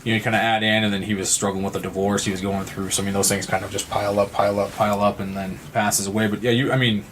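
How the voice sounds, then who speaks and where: A man with a fairly low voice gives conversational interview answers. He starts, stops and repeats words as he works toward his point. Faint noise and room ambience sit behind him. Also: flat delivery. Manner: halting, repeats words and restarts. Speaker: guest from a suicide-loss nonprofit.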